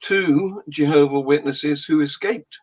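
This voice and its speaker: A man hosting a live-streamed talk show, brisk, plugging links and adding quick remarks. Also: fairly high pitch, darkish tone, breathy edge. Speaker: show host.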